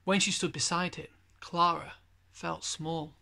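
A man's voice: fairly high pitch, steady tone, a little slow, creaky, bright, forceful tone. A man in his thirties reading a children's storybook aloud indoors at home.

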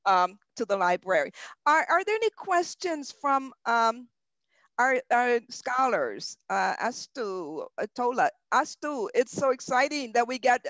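A woman's voice: fairly high pitch, fairly bright tone, no echo. An older woman moderating a video conference panel through a headset mic, speaking hesitantly with many fillers. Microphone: headset microphone on a video call.

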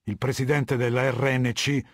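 Video game warlord, middle-aged. Husky, imperious, measured authority; dry studio sound. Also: male voice, mid-range voice, little pitch movement, no room sound. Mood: cold, commanding. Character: cold, commanding middle-aged male warlord of a legion. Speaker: voice actor.

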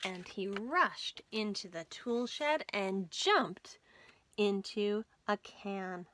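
A woman in her thirties reading a picture book aloud to children with expressive, dramatic emphasis. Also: medium pitch, very slow. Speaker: library storytime host. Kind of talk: children's storybook read-aloud. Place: small room lined with bookshelves, indoors.

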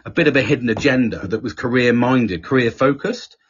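A middle-aged man with a husky voice, telling a story in casual, sweary podcast chat and acting out the people he quotes. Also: medium pitch, a little fast. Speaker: podcast host. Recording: dull lo-fi podcast audio.

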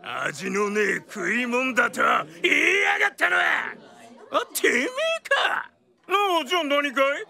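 A rough, hot-headed tough of old downtown Tokyo in a radio drama. He blusters in local slang, with a forceful, creaky voice that leaps around in pitch. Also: sing-song, exaggerated voice. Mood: furious, threatening. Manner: blustering, rapid-fire bullying slang.

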